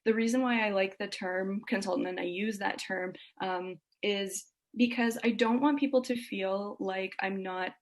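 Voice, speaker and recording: Woman with a creaky voice. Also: mid-range voice, steady tone, moderate pace, hardly any pauses. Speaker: sleep consultant, podcast guest. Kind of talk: casual explanatory interview.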